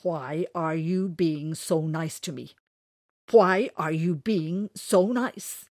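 A woman's voice: deep voice, slow pace, darkish tone, no room sound, studio quality, full character voice. A woman voicing an angry, sulky old man in a storybook, grumbling and snapping. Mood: suspicious.

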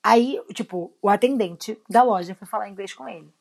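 A woman's voice: mid-range voice, normal speed, creaky. A young woman with a Rio de Janeiro Brazilian accent, chatting casually and telling anecdotes in slangy speech, acting out what people said. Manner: slangy storyteller, acts out quoted lines. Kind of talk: casual chat, animated anecdotes.

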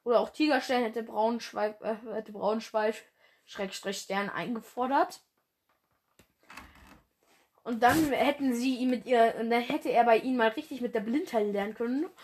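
A woman's voice: a little high, moderate pace, a little mellow. Rambling young woman with a stuffy nose. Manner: rambling, with many fillers and slang. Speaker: podcast host. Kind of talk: casual fan podcast monologue.

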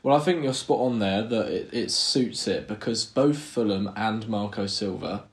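A man with a somewhat low voice co-hosting a casual podcast. He talks in an agreeable, halting way and keeps restarting his sentences as he thinks aloud. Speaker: podcast co-host. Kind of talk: casual football chat.

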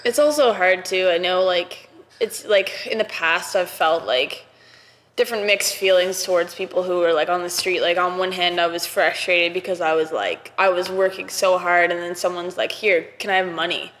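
A woman's voice: on the low side, fairly even intonation, moderate pace, fairly bright tone. A woman talking casually and thoughtfully in a podcast conversation, using "like" often. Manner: casual, thoughtful, many "like" fillers. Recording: reverberant room.

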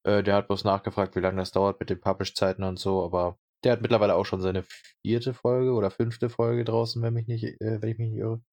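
A man's voice: fairly low pitch, flat delivery, brisk, few pauses, darkish tone, highs cut off. A young man from Brandenburg co-hosting a podcast, chatting casually and rambling with many fillers. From Brandenburg, Germany. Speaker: podcast co-host.

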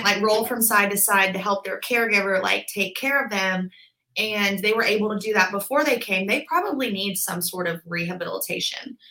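Bright-voiced chatty young woman. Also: medium pitch, low-quality recording. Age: 20s. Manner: chatty, explains with lots of "like" and "um". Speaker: podcast guest, physical therapist. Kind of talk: casual interview chat.

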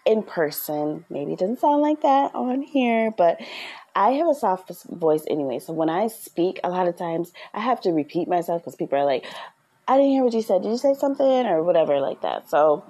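A woman hosting a podcast, giving parenting advice in a soft-spoken, earnest, conversational way. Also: fairly low pitch, lively intonation, moderate pace. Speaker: podcast host. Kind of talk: casual advice talk.

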